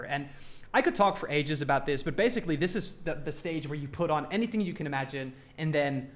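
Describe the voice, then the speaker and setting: A man's voice: on the high side, a bit bright. A man talking casually and informally as he explains a music production tutorial step by step. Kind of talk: casual hands-on tutorial talk.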